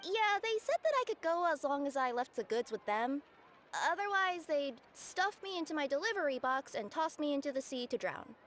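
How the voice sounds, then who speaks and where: Young female yokai courier, high-pitched, earnest, flustered, apologetic, anime game acting. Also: animated, moderate pace, fairly bright tone, a little hiss or hum. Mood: reassuring.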